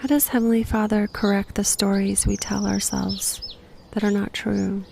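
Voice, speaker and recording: A woman guiding a meditation in a gentle, unhurried, breathy voice that sometimes nears a whisper, giving slow reflective prompts. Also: medium pitch, flat delivery, slight background noise. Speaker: guided meditation host.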